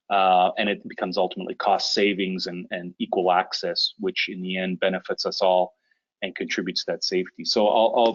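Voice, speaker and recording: Low-voiced man hosting a conference call. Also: highs cut off. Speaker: conference call host, association leader. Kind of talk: informal business briefing. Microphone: conference call connection.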